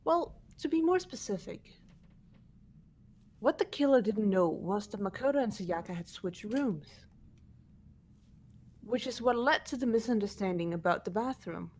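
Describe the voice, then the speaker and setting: A woman's voice: medium pitch, expressive, darkish tone. Woman playing a composed, analytical student detective. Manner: measured, explanatory. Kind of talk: fan voice acting of a video game script.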